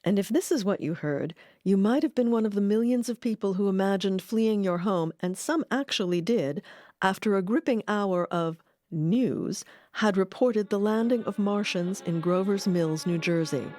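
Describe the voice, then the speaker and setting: A woman narrating a radio-history documentary in a measured, expressive scripted read. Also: medium pitch, no echo, clean studio sound. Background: music.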